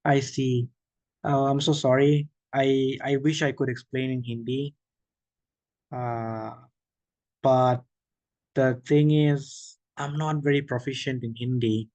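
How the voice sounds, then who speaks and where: A man giving an online machine learning workshop, explaining slowly with frequent okays, restarts and questions to the audience. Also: mid-range voice, level tone, a little mellow, no echo. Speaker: workshop presenter. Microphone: video call.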